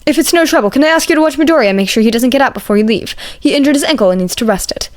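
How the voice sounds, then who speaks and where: A woman's voice: high-pitched, animated, very fast, voice pushed up. A woman voicing a strict, earnest young man in an audiobook, formal and emphatic as he fusses over others. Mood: brisk, concerned. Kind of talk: fan fiction audiobook character voice.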